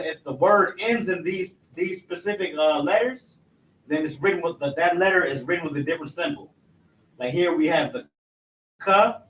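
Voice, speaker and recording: A man leading a recorded language class in a casual, repetitive way, often adding "you know what I'm saying" in a dropped voice. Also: highs cut off. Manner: recites letters loudly and rising in pitch. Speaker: class instructor.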